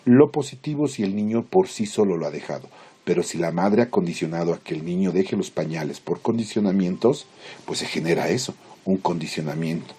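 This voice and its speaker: A man lecturing in Spanish as a teacher, explaining theory informally in halting, didactic phrases with check-in questions. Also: low-pitched, warm tone, breathy edge. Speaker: psychology lecturer.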